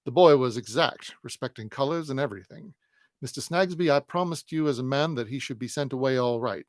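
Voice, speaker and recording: A man voicing a shrewd, jovial police detective who talks in a hearty, loud, coaxing way. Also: medium pitch, brisk, dry recording, studio quality, close to an everyday voice.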